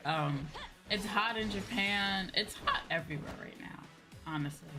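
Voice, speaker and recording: A woman's voice: mid-range voice, normal speed. A woman livestreamer chatting casually to her viewers in a rambling, repetitive way. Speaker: VTuber streamer. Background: background music.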